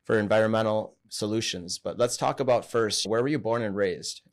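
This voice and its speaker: A man's voice: fairly low pitch, fairly quick. A man from southern Wisconsin hosting a podcast interview in a casual, upbeat way, often chiming in with short agreement. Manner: upbeat, affirming with frequent "yeah" and "mm-hmm". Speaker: podcast host.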